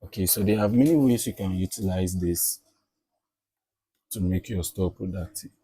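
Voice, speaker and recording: Deep, dark-voiced man. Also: normal speed, slight background noise. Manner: repeats himself, frequent "okay" and "all right" check-ins. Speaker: tutorial presenter. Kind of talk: casual step-by-step software tutorial narration.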